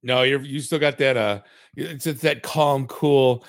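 A man's voice: medium pitch, fairly even intonation, unhurried, no room sound, studio quality. A man hosting a podcast who tells rambling, self-mocking stories in a chatty, casual way. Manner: chatty, storytelling, self-mocking, many restarts. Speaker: podcast host.

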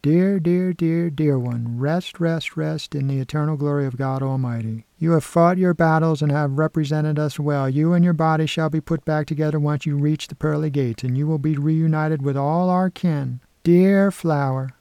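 A man's voice: a little high, fairly even intonation, moderate pace, few pauses, dark tone, no echo, pitched above the performer's own voice, lightly acted. A man voicing an elderly, pious great-aunt who rambles tenderly in a sing-song, churchy way at a sick child's bedside. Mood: soothing.

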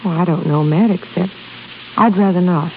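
An actress playing a devoted, discreet young secretary, polite and earnest, in 1930s radio drama style. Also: female voice, fairly low pitch, moderate pace, close to an everyday voice. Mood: tender, earnest. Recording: tinny, noisy 1930s radio recording.

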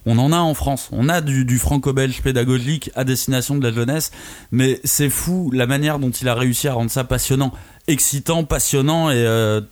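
A man co-hosting a casual podcast, speaking in a thoughtful, measured way. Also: mid-range voice, a little mellow. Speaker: podcast co-host. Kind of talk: casual discussion.

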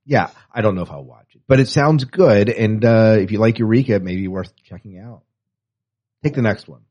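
A man's voice: lowish voice, brisk, a little mellow, muffled recording. A man co-hosting a podcast in casual, opinionated chat with wry asides, reading and commenting on news. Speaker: podcast co-host.

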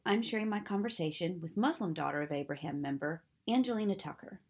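A woman's voice: fairly low pitch, husky. Upbeat woman podcast host. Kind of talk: warm podcast interview and introduction.

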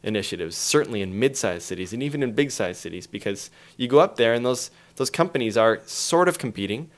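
A man's voice: mid-range voice, lively intonation, brisk. A man speaking as an interview guest, explaining things in an emphatic, storytelling way with acted-out quotes.

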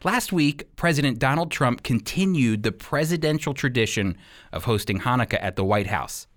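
Man, American accent, radio Bible teacher, animated pitch, earnest, emphatic, conversational sermon delivery. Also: normal speed. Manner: earnest, emphatic, rhetorical questions.

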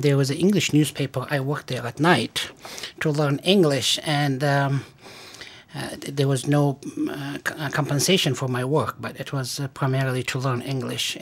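A man from Afghanistan with an Afghan accent, speaking as a guest in a radio interview, halting and careful, with frequent pauses and fillers. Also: mid-range voice. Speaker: guest, cancer researcher.

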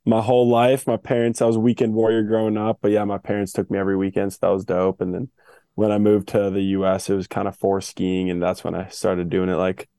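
Relaxed young man, low and flat. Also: fast, dry recording, clean studio sound. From Switzerland. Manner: relaxed and easygoing, with fillers. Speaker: guest, pro skier. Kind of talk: casual interview answers.

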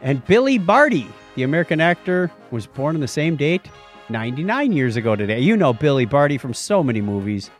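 A man's voice: fairly high pitch, sing-song, fairly quick, soft background music. A man co-hosting a podcast, bantering casually and reading out items with wry, joking asides. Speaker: podcast co-host.

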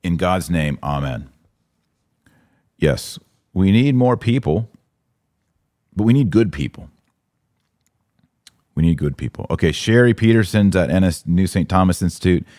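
Deep-voiced American man hosting a podcast. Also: expressive, unhurried, long pauses, dark tone, dry recording, studio quality. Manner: emphatic, repeats phrases for stress. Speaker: podcast host. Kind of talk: live commentary answering viewer comments.

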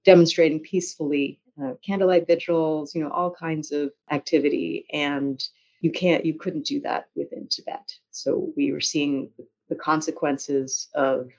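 A woman with an American accent telling her story conversationally as an interview guest, in a measured way with frequent pauses. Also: low-pitched, fairly even intonation, darkish tone. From United States. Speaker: interview guest, journalist and author. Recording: dull, lo-fi audio.

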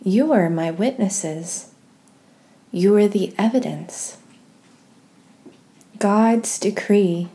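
A woman leading a guided meditation and scripture reading in a gentle, soft-spoken, measured way with pauses. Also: mid-range voice. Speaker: host of a guided prayer podcast.

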